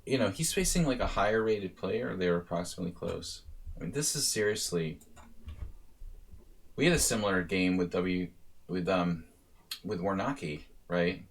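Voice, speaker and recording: A man's voice: low-pitched, lively intonation, normal speed, creaky, pauses often. A man streaming live chess analysis as a coach, thinking aloud in a casual way with sudden bursts of emphasis.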